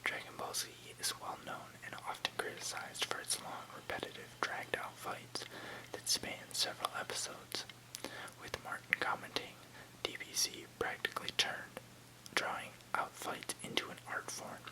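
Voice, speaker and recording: A person whispering in a breathy, unvoiced voice, softly reading aloud in unhurried phrases as an ASMR host. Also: rough recording.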